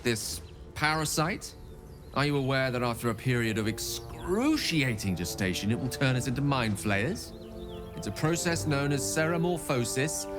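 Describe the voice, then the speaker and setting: An actor playing a genial, verbose wizard who talks in polite, theatrical, elaborate sentences. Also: male voice, medium pitch, lightly acted. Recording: game audio with music.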